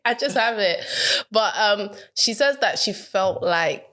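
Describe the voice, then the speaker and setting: A woman's voice: medium pitch, lively intonation, takes it slowly, a bit bright. A woman, a guest on a podcast, telling her own story in a hesitant, rambling way and often laughing. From Ghana. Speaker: podcast guest, mental health coach. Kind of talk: casual interview chat.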